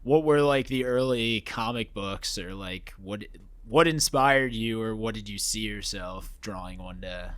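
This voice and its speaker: A man's voice: on the low side, a bit bright, clean tone, no room sound. A man hosting a casual interview, asking easygoing questions and laughing often. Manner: laughs often, asks quick friendly questions. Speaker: podcast host.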